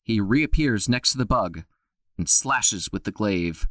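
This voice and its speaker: A man narrating an audio drama with expressive storytelling. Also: fairly low pitch, a little slow, no echo.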